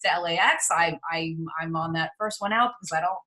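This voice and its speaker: A woman's voice: on the low side, fairly quick, sharp tone. A woman in her forties with a Midwestern American accent, a casting director guest telling stories in a chatty interview. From New York City. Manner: chatty storyteller, frequent laughs and restarts. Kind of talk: casual interview chat.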